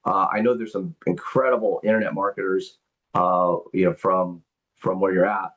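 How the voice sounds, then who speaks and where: A man's voice: low-pitched, little pitch movement, normal speed, a bit bright. A man hosting a business call, explaining membership benefits and taking questions, rambling with lots of fillers and repeated words. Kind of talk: business presentation and Q&A on a group call. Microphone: conference call. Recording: dull lo-fi call audio.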